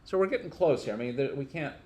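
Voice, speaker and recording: A man's voice: mid-range voice, lively intonation, fast, a little mellow. A man teaching a casual hands-on technical lesson, informally thinking aloud as he works through each step.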